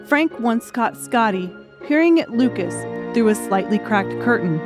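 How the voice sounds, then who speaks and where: A woman's voice: on the high side, expressive, a little slow. A woman reading a scripted audiobook story as a dramatic narrator. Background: music.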